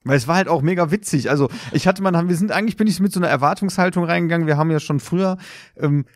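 A man's voice: a little high, very fast, no echo, studio quality. A man co-hosting a podcast, chatting in a blunt, colloquial way. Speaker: podcast co-host. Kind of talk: casual chat.

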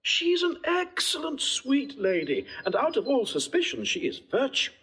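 Theatrical, husky, high-voiced scheming prince. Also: male voice, huge pitch swings, normal speed, sharp tone, exaggerated voice, rough recording. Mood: playfully scheming. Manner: theatrical, mock-earnest, courtly. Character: genial scheming prince, staging talk to be overheard. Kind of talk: classical Shakespearean stage acting.

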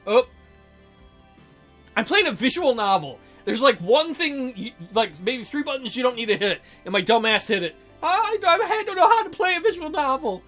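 A man's voice: extremely high pitch, expressive, moderate pace, fairly bright tone. A man hosting a let's play, reading a visual novel aloud and adding jokey, theatrical commentary. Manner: jokey, theatrical, breaks into silly mock voices. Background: game music.

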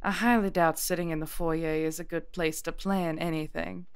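A woman's voice: deep voice, steady tone, normal speed, smooth, dry recording, clean studio sound. A woman playing a genteel, composed witch leader in an audio drama, polite yet commanding. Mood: gracious.

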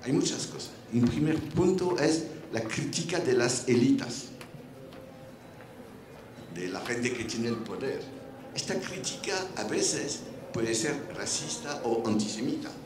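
A man's voice: medium pitch, very animated, measured pace. An older man with a French accent, speaking on a round-table panel on a large indoor stage, searching for words with restarts, into a handheld mic. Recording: roomy hall with faint noise.

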